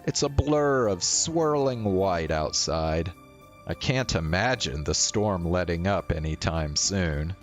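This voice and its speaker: A man's voice: low voice, expressive, takes it slowly. A man hosting a game stream, chatting casually and reading visual novel narration aloud. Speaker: streamer, host. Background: faint game music.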